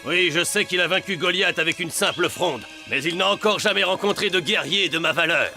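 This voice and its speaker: A man voicing a boastful, greedy mercenary chief, cocky and hard-bargaining, in a dubbed animated Bible drama. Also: sits high, fairly quick, creaky, faint music behind, heavily acted.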